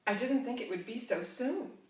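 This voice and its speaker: A woman reading as a coy, hushed young lover. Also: a little high, animated, normal speed, noisy background, voice pushed up, lightly acted. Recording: roomy, distant.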